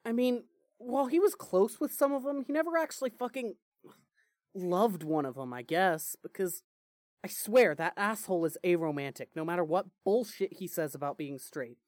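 A woman playing a grieving, foul-mouthed, defensive young man in an audio drama, with halting, vulnerable delivery. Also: fairly low pitch, a little mellow, dry recording, studio quality, natural voice. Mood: guarded, subdued. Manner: halting, swearing, raw and vulnerable. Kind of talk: fan fiction audio drama acting.

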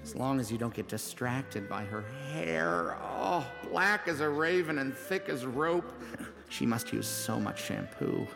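An actor playing a nervous, smitten, hapless low-level ad man in an audio drama, rambling and scolding himself. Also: very animated, unhurried, creaky, music in the background. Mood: flustered. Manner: flustered, rambling, self-scolding. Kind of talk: audio drama acting with confiding inner monologue.

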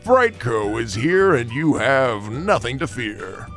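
A man playing a gleefully callous, mock-sincere insurance pitchman in a satirical radio commercial, with exaggerated emphasis and dramatic pauses. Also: big pitch swings, a bit bright, faint music behind. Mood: upbeat salesman. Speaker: announcer.

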